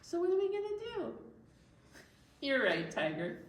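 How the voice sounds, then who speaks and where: A woman hosting a storytime for young children, talking in an exaggerated, animated way as if to little listeners. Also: high-pitched, moderate pace. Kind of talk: read-aloud storytime presentation with songs. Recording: roomy echo, distant mic, faint noise.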